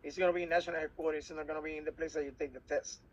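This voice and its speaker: A man from Puerto Rico whose first language is Spanish, speaking English with a Puerto Rican Spanish accent. He gives a workshop briefing to cadets, halting and restarting often, repeating words as he goes. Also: fairly high pitch, flat delivery, lo-fi sound. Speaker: cadet program instructor.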